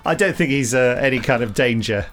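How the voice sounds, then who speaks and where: A man's voice: medium pitch, brisk, fairly bright tone, soft background music. A British man co-hosting a podcast in casual chat, with a dry, wry sense of humour. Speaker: podcast co-host.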